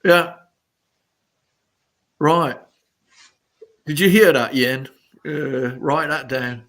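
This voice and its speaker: A middle-aged or older man, a musician chatting casually and haltingly as an interview guest. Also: fairly high pitch, fairly bright tone. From Sheffield, England.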